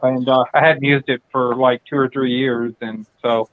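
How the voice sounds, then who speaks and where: A man's voice: mid-range voice, little pitch movement, moderate pace, no room sound. A man hosting a late-night casual chat, rambling and profane, with lots of fillers and restarts. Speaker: channel host. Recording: tinny dry phone-like audio.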